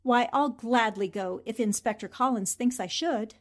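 A self-possessed newspaperwoman from a 1940s radio play, acted by a woman. Her voice sits on the high side, and she talks in a brisk, businesslike way, fully in command. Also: close to an everyday voice. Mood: calm, decisive. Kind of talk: 1940s radio drama acting.

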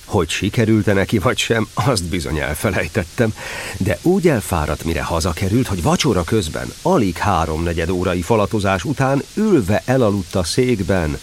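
Low, lively male children's storyteller. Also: slight background noise. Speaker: storyteller, narrator. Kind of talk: expressive scripted narration of a children's story.

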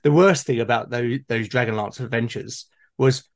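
Middle-aged guy, mid-pitched voice, casual chat, kinda halting, repeats words as he goes. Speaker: podcast guest. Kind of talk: casual conversation.

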